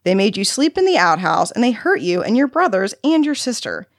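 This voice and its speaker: A woman voicing a patient female carer who coaxes and soothes a volatile child, explaining things deliberately in a narrated story. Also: medium pitch, very animated, no room sound, clean studio sound, pitched above the performer's own voice. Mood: gentle, insistent.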